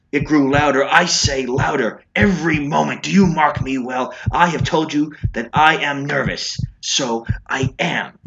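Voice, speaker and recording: A man playing an unhinged, nervous, gloating murderer who narrates a gothic horror tale in a theatrical, dramatic manner, swinging between hushed and frantic delivery. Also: on the low side, noisy background. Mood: frantic, furious.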